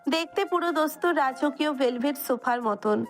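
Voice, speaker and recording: A woman narrates a romantic audio story in a high-pitched voice. She reads from a script, and music plays underneath her. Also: normal speed. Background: background music.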